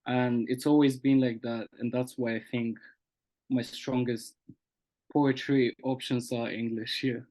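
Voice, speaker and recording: Young male guest from Bulgaria, Bulgarian native, mid-pitched voice, reflective and earnest in interview. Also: little pitch movement, measured pace, darkish tone. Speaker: guest poet. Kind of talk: interview answers.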